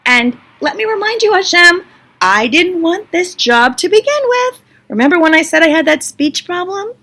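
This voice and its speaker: Woman doing fed up bible leader ranting at complainers, high bright voice, cant believe it, super emphatic. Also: big pitch swings, normal speed, creaky, slightly rough, heavily acted. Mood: overwhelmed, pleading. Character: exasperated, overwhelmed Moses fed up with a complaining people.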